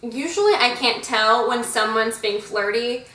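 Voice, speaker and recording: Bright-voiced young woman, echoey room. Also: on the high side, lively intonation, unhurried, recorded from a distance. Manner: giggly, chatty. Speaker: vlogger. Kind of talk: casual storytelling chat.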